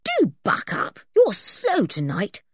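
A woman's voice: a little high, huge pitch swings, slow, breathy edge, dry recording, studio quality, voice pushed up, full character voice. A woman voicing a hot-tempered, imperious tomboy girl in a children's storybook. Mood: impatient. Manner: imperious, shouting and scolding.

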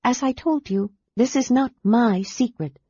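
Woman playing a gentle, earnest librarian. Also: a little high, measured pace, husky, no echo, lo-fi sound, voice pushed up. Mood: apologetic. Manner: warm, earnest, careful.

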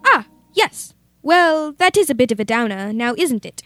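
A young woman playing a blithe, unbothered lady in a comic old-time radio play, polite and deadpan. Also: sits high, normal speed, no room sound, studio quality, soft background music. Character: blithe, unbothered lady, sister of a murdered man.

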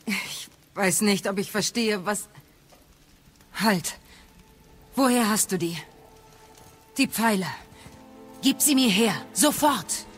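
Casting: stern but caring witch, mid-pitched, unhurried, commanding, audio drama with faint music. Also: slightly rough. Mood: stern, urgent. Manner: measured, commanding. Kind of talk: German audio drama acting.

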